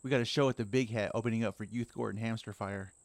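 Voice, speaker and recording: Horror audiobook sidekick, done by a male narrator: young man, tight anxious voice, raised pitch, dry sound. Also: fairly even intonation, fast, no echo, close to an everyday voice. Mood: tense, feigning cool. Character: nervous young male bandmate feigning cool.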